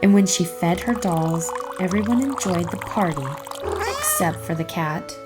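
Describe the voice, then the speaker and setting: A woman narrating a children's storybook aloud in an expressive, careful storytelling manner. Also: lowish voice, moderate pace, over loud music.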